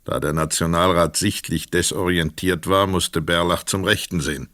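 An actor narrating a crime story in a radio play in a measured, precise manner. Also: male voice, sits low, fairly even intonation, a bit bright, dry recording, clean studio sound.

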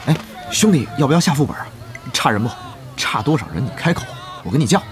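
A man's voice: medium pitch, sing-song, quick pace, background noise, close to an everyday voice. An actor playing an affable, scheming male guild leader in an audio drama, chummy, exclamatory and eager to please. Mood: ingratiating.

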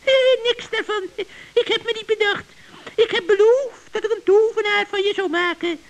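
Een kakelende, sluwe heks. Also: female voice, very high voice, fairly even intonation, moderate pace, rough recording. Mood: sly. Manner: cackling, fawning, stumbles over her words. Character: scheming, cackling female witch. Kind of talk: 1960s children's radio play acting.

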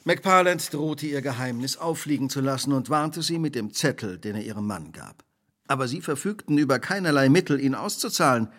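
An actor playing a shrewd, relentless detective in a radio drama, speaking precisely, formally and theatrically. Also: male voice, medium pitch, expressive, brisk, a bit bright. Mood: accusing, assured. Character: shrewd, relentless male detective laying out a crime.

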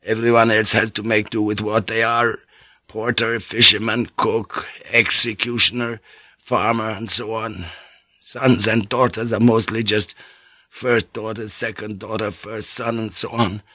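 A man's voice: fairly low pitch, little pitch movement, unhurried, sharp tone, no room sound. A man doing a ranting old friar. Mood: explaining. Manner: agitated, breathless, ranting. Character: ranting feverish old Spanish friar, bitter.

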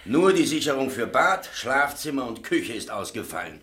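A man's voice: medium pitch, sing-song, normal speed, fairly bright tone. A man playing a matter-of-fact electrician in a radio crime drama, explaining things precisely in short emphatic statements. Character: matter-of-fact male electrician and shop owner, master of apprentices.

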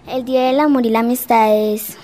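A woman giving a halting opinion as a vox pop interviewee. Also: on the high side, fairly even intonation, mellow, a little hiss or hum.

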